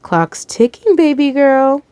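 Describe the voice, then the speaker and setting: A woman's voice: medium pitch, moderate pace, mellow, smooth, no echo, close to an everyday voice. Woman acting seductive dream demon man, murmuring, smug, taunting, close dry storybook sound.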